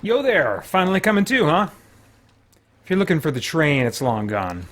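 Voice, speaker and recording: A man's voice: fairly high pitch, big pitch swings, normal speed, fairly bright tone. Man voicing a brash, cocky young game hero. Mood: cocky, teasing. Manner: teasing, brash.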